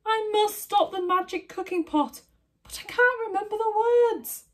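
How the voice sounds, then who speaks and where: A woman voicing an excitable mother in a children's story, exclaiming dramatically. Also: very high voice, a little slow, pitched above the performer's own voice. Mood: panicked. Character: excitable widowed mother, easily panicked.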